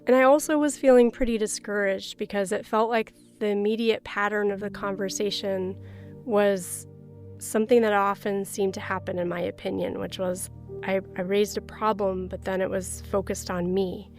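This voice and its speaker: A woman's voice: mid-range voice, steady tone, moderate pace, faint music behind. Reflective woman telling her story. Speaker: religious sister. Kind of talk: personal testimony narration.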